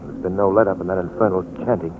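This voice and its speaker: An actor playing a haunted, uneasy gentleman in earnest, hesitant 1940s radio style. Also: sits low, steady tone, fast, close to an everyday voice. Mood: grave, weary. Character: haunted, uneasy gentleman fearing a voodoo curse. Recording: noisy, tinny old radio recording.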